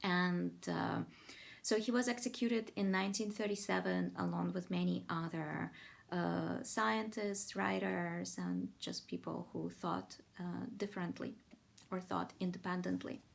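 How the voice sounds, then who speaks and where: A woman giving an informal, thoughtful talk about a poet, enthusiastic and pausing often to find her words. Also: on the low side, steady tone, vocal fry. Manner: reads poetry aloud in Ukrainian. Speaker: presenter of a poetry channel.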